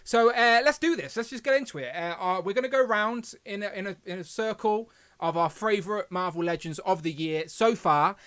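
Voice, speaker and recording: Host, male, lilt, slight lisp, high-pitched rambling chatter, dry close sound. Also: lively intonation, a little fast, few pauses, a bit bright, smooth, no echo. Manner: chatty, rambling, self-deprecating. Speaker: live stream host. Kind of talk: casual live stream chat.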